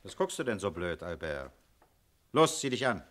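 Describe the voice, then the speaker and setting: An actor playing a shrewd, commanding police inspector in a German radio crime drama, questioning in a terse, authoritative way. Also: male voice, deep voice, sing-song, normal speed, a bit bright.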